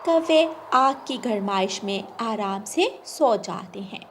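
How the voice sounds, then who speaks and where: A woman's voice: medium pitch, animated, takes it slowly, a little hiss or hum. A woman reading scripted narration for a children's cartoon moral story.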